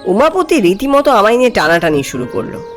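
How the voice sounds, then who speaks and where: Man acting as expressive young office junior, storytelling. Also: sits high, brisk. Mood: calm storytelling. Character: curious, polite male office junior visiting a colleague, narrating his tale. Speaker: narrator and character. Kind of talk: dramatised horror story narration. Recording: music behind the voice. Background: background music.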